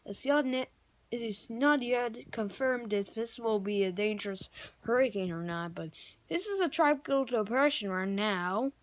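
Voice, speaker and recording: A woman improvising mock emergency news and weather bulletins in a halting, stumbling amateur announcer delivery. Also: fairly high pitch, lively intonation, dry recording, low-quality recording. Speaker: amateur video creator playing broadcast announcer.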